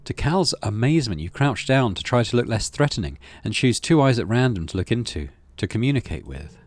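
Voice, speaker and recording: A man's voice: medium pitch, sing-song, fairly quick, no echo, studio quality. A middle-aged man hosting a gaming video, reading story text aloud and adding casual commentary. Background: game music.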